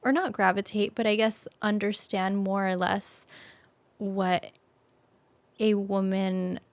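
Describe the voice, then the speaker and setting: A woman's voice: mid-range voice, fairly even intonation, slow pace, smooth, no echo. A woman, a young adult, talking reflectively and casually as an interview guest.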